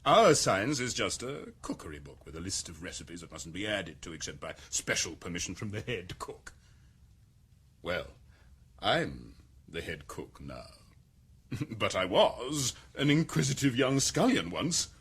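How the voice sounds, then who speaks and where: In a radio play, a suave male ruler who explains things in a measured, amused and condescending tone. His voice sits low with a husky edge, and his melody swings widely. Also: a bit bright, heavily acted. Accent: British English. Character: urbane, powerful male world controller, amused. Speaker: world controller. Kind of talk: 1990s radio drama.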